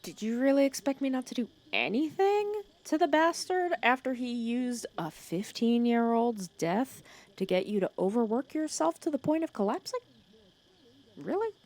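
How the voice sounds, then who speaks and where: A female villain voiced by a woman in an intimate ASMR audio drama, fussing over her nemesis with sarcastic, protective scolding. Her voice swings expressively up and down, often falling into a creaky, hushed murmur. She teases and threatens in the same caring breath. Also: fairly high pitch. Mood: dry menace.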